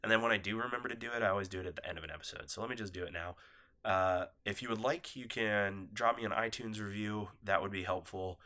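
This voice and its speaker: Man with a cold, nasal, rambling and laid-back, deep voice, recorded on a phone set down nearby. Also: fast talker, sharp tone. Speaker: podcast host. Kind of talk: casual solo podcast chat.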